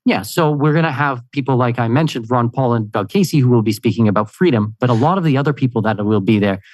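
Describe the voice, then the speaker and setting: A man from Canada, a podcast guest answering questions in an upbeat, energetic way, with plenty of "you know" fillers. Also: mid-range voice, quick pace, no room sound, poor audio. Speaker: guest, expat consultant. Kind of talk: conversational interview answers.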